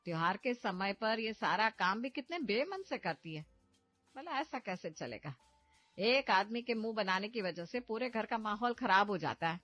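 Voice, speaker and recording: A woman's voice: mid-range voice, lively intonation, normal speed, fairly bright tone, soft background music, lightly acted. A woman voicing a cheerful middle-aged mother-in-law who loves festivals, fussy and chiding.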